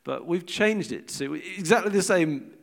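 A man's voice: sits high, animated, measured pace, creaky. A middle-aged British man giving an informal sermon with personal anecdotes, in a hesitant, conversational and reflective manner. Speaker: church speaker giving a teaching talk.

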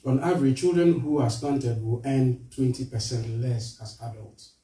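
A man from Ghana with a Ghanaian accent, giving an earnest charity appeal to a church, halting with fillers and restarts. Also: mid-range voice, fairly even intonation, warm tone. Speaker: charity representative speaking to a church. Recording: roomy echo, voice from far off.

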